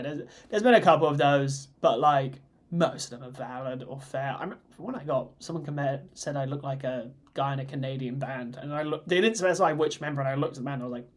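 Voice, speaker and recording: A man co-hosting a casual chat, talking in a relaxed, rambling way. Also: mid-range voice. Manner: relaxed, rambling, with restarts and laughs. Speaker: co-host.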